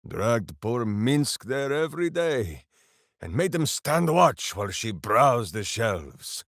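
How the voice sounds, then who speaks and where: An actor voicing a big, simple-minded, hearty berserker hero in a fantasy video game, declaiming with bombastic earnestness. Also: male voice, mid-range voice, very animated, slow pace, a bit bright, dry recording, clean studio sound, exaggerated voice. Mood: earnest, boastful. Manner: bombastic, earnest, grandly declaiming, speaks of himself by name. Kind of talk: theatrical fantasy video game character acting.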